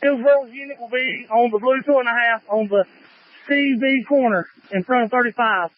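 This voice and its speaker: A man speaks in fireground radio traffic, his voice pitched very high. It comes through a lo-fi handheld radio with a dull, muffled sound. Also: normal speed. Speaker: firefighter, fireground division officer. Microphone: handheld two-way radio. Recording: muffled lo-fi two-way fire radio.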